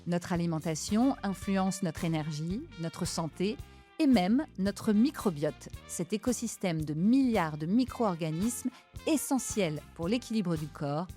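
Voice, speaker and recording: A woman reading a scripted introduction in a smooth, measured way. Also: lowish voice, expressive, mellow, faint music behind. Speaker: narrator.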